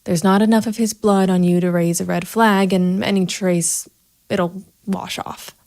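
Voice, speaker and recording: A woman's voice: mid-range voice, fairly even intonation, unhurried, a little mellow, long reverb tail, close to an everyday voice. An actress playing a cold, methodical female private investigator and vigilante, narrating a noir audio drama in a hard-edged, matter-of-fact way. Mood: cool, commanding. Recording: music bed behind the voice.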